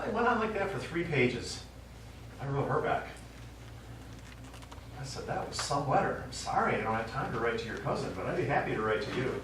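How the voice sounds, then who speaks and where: An actor playing an elderly, stubborn widower and war veteran, reminiscing in a wry, rambling way in a stage play. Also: male voice, medium pitch, fairly bright tone, close to an everyday voice. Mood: mock indignant. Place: small theatre stage, recorded from the audience. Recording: echoey small theatre, distant mic, faint noise.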